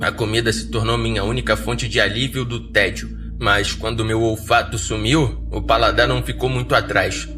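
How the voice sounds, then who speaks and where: A man's voice: fairly low pitch, steady tone, normal speed, hardly any pauses, sharp tone. Brazilian male horror narrator over music. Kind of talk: dramatic scripted first-person horror narration. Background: music bed.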